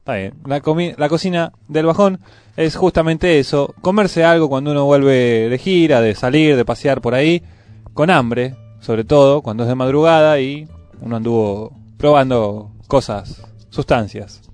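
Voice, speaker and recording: A man's voice: mid-range voice, moderate pace, darkish tone, no room sound, faint music behind. A man with a Buenos Aires area Argentine accent hosting a casual live radio chat. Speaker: radio host. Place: radio studio.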